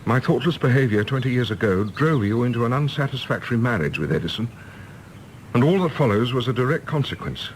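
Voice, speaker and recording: Radio play: male actor as awkward middle-aged suitor, formal and pressing, lively intonation over slight background noise. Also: medium pitch, a little fast, lightly acted, rough recording. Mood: earnest, urgent.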